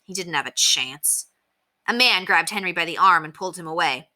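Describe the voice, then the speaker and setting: A woman, a teacher, reading a children's chapter book aloud with expressive storytelling. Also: on the low side, normal speed. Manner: expressive storytelling with softer narration.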